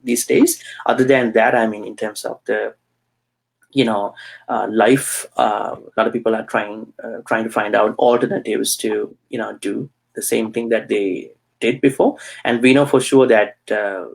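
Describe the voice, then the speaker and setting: A man from Sri Lanka, a Buddhist monk, answering interview questions with many pauses and fillers. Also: lowish voice, fairly even intonation, creaky, breathy edge.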